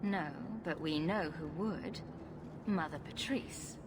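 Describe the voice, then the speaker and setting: Dry sarcastic rogue, noisy game audio. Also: female voice, sits low, takes it slowly. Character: sarcastic female rogue hero, young adult.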